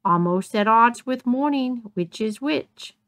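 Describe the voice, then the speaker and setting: A woman playing a composed, commanding queen in a measured Shakespearean dramatic reading. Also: on the high side, expressive, a bit bright. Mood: weary, restrained. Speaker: amateur reader in a dramatic reading.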